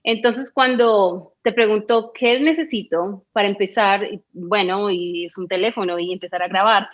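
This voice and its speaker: A woman's voice: medium pitch, fairly even intonation, normal speed. Dull-sounding recording of a questioning woman. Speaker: podcast guest asking for advice. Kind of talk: casual conversation.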